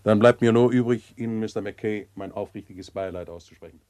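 An actor playing a formal police official offering condolences at a death scene in a vintage radio play. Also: male voice, fairly low pitch, fairly quick, dark tone, dry recording, clean studio sound, lightly acted. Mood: solemn. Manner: formal, solemn.

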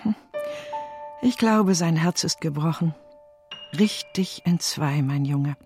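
A woman's voice: deep voice, slow pace, darkish tone, faint music behind. An actress playing an affectionate, motherly older nursemaid in a radio play, speaking tenderly and with feeling. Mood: sorrowful. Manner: tender, emotive.

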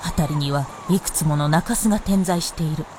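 A woman's voice: low-pitched, normal speed, noisy background. A woman giving scripted narration as the narrator of a Japanese radio drama. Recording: loud music under the voice.

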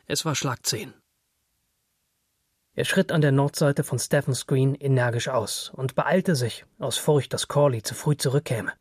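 A man's voice: mid-range voice, measured pace, breathy edge, no echo. Male literary narrator in a dry room.

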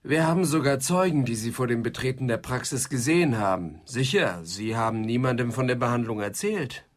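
A male actor plays a detective's assistant in a radio crime play. He has a medium-pitched voice and puts accusations across in a pointed, matter-of-fact manner. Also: expressive, brisk, natural voice. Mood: accusing. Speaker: second interrogator. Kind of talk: German radio crime drama acting.

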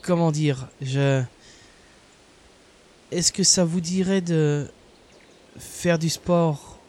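A shy, smitten suitor played by a man with a fairly high voice, stammering and hesitant. The style is comic audio drama. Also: very slow, darkish tone.

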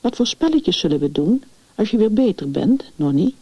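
A woman narrator voices a patient, artistic uncle in a storybook, gently questioning and coaxing. The voice is soft and mellow, on a dry, slightly dull recording. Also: medium pitch, big pitch swings, normal speed, slightly rough, no room sound, pitched above the performer's own voice.

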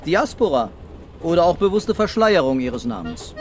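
An actor playing a brooding, terse police commissioner in a German radio crime drama. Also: male voice, on the high side, big pitch swings, normal speed. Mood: explaining. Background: music and vehicle ambience.

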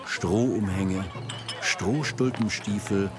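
A man narrating a radio drama in a measured, literary storytelling manner. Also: on the low side, over music.

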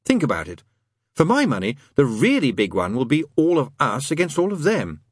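A man's voice: mid-range voice, huge pitch swings, moderate pace, husky, no room sound, clean studio sound, voice pushed up. A man voicing a restless, sardonic male demon in an audiobook, insistent and full of rhetorical questions. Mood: wry, brooding. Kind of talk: dramatised audiobook reading.

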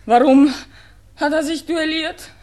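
An actress playing a distraught, lovesick young daughter in a radio drama, insistently pressing short, urgent questions. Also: female voice, high-pitched, fairly even intonation, takes it slowly, a little mellow. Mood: desperate, demanding. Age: young adult. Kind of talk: 1960s German-language radio drama acting.

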